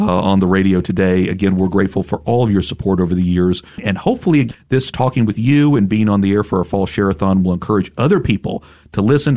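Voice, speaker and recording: A man hosting talk radio with a fairly low voice and a chatty, laughing manner. He sounds close and dry, with no room in the sound. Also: darkish tone, no echo. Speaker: radio host. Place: radio studio.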